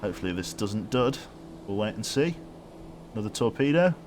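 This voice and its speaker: A man streaming a submarine game, calmly giving orders aloud and commenting as he plays. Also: fairly low pitch, a little fast, noisy background. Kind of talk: gameplay commentary. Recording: game music loud behind.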